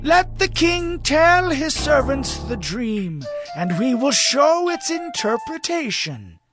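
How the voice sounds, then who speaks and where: A man's voice: very high-pitched, big pitch swings, slow pace, music in the background, full character voice. An actor playing a deferential court sage in a dramatized audio Bible, formally supplicating his king. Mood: pleading.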